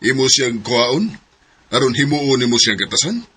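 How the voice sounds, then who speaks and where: A man's voice: medium pitch, animated, normal speed, a bit bright, breathy edge. A man acting an earnest, protective boss in love in a romantic radio-style drama, with a Cebuano Filipino accent. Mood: firm, defiant.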